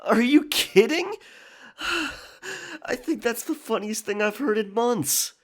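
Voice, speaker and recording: A man playing the young male lead of a pirate romance audio drama, teasing and expressive. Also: very high-pitched, slow, husky, exaggerated voice. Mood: amused.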